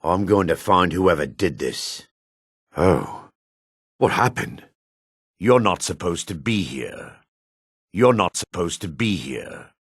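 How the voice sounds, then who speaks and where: An actor playing a gruff, proud male dark elf in a fantasy video game, with a rasping voice and theatrical delivery. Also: low voice, sing-song, slow, long pauses, no room sound, clean studio sound, heavily acted. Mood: grim, resigned. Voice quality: gravelly, rasping.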